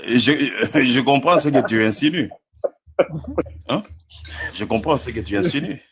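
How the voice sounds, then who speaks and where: A man's voice: mid-range voice, animated, unhurried, noisy background. A man from Congo with a Congolese French accent, debating in a live online stream, hesitant and stammering with frequent chuckles.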